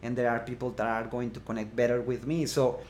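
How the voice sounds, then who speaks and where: A man from Mexico with a Mexican Spanish accent, speaking as an interview guest in a casual chat, pausing often with fillers and restarts. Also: mid-range voice, little pitch movement, darkish tone, a little hiss or hum. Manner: hesitant, many fillers and restarts. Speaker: guest, blogging course creator.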